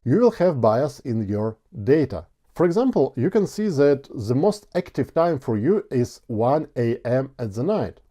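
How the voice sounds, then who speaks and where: A man's voice: mid-range voice, mellow. A man with a Ukrainian accent, a native Ukrainian speaker, giving an earnest step-by-step tutorial on ad settings. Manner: earnest, plain step-by-step explaining. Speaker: online ad instructor. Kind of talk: screen-share tutorial.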